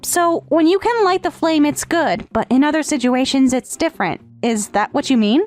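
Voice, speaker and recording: A woman's voice: high-pitched, animated, normal speed, soft background music. A woman playing a lovestruck, brazenly submissive teenage step-sister in an anime-style romantic comedy dub. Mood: curious.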